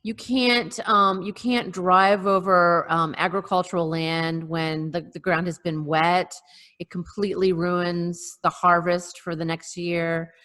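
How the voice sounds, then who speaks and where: Female panelist, somewhat low register, agreeable and chatty, informal group talk. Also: level tone, a little slow, a bit bright. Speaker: podcast panelist. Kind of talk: casual panel chat. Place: remote video call.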